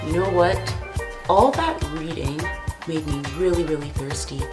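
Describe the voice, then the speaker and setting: A woman hosts a children's show with a very animated, exclamatory voice. She talks straight to her young viewers. The sound is echoey, with music clearly behind her. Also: low voice, normal speed. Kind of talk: upbeat children's show hosting. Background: background instrumental music.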